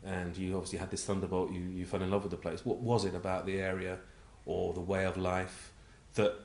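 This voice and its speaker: A man's voice: sits low, little pitch movement, a little fast, a little mellow, echoey room. A man interviewing a guest, prompting him with leading questions and short affirmations. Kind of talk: conversational interview.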